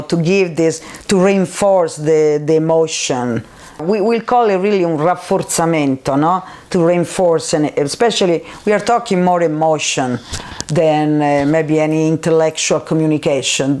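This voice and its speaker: A woman's voice: deep voice, darkish tone. Middle-aged Roman woman, strong Roman Italian accent, native Italian speaker, easygoing interviewee who muses aloud and often restarts her phrases. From Rome, Italy. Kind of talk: casual conversation.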